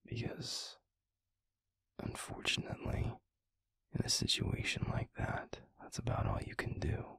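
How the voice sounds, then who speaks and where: A man playing a tender, protective werewolf boyfriend in an intimate ASMR roleplay, speaking in a breathy, gravelly, soothing whisper. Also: dry recording. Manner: soothing, slow, gently coaxing. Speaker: audio roleplay voice actor.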